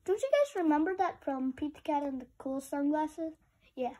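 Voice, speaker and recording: A woman's voice: high-pitched, expressive, moderate pace, warm tone. A woman reading a picture book aloud, adding informal, chatty, childlike asides to young listeners. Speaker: read-aloud host.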